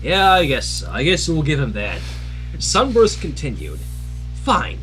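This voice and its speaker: A man reads a fan story aloud as a livestream narrator, his pitch swinging very widely. He drops casual asides into the narration, and music plays behind him. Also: huge pitch swings, measured pace, fairly bright tone, rough recording. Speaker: fan fiction reader on livestream.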